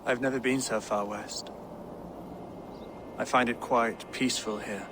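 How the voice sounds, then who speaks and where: An actor playing a pious, serene deposed English king in a video game, speaking formally and with measured solemnity in an English accent. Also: medium pitch, fairly even intonation, background noise, natural voice. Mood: solemn, reflective. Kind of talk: dramatic video game acting. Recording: game audio with music underneath. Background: music.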